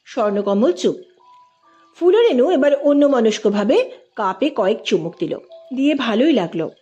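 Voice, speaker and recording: A woman with a fairly high voice narrates fiction as a storyteller. Her reading is scripted and expressive, following the characters through the scene. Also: moderate pace, highs cut off, soft background music.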